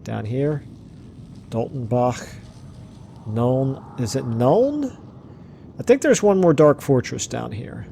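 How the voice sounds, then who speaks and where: A man commentating his strategy game play casually, thinking aloud with fillers, his voice going hoarse. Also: medium pitch, expressive, slow, long pauses, dark tone, slight background noise. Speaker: let's play host.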